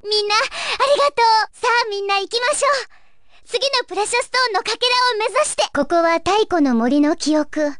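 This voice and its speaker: An actress playing a gentle, earnest young fairy guide of a dream world in a video game, with a wistful, pleading manner. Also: female voice, extremely high pitch, fairly quick, a little hiss or hum. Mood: wondering, then solemn. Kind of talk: Japanese video game story acting.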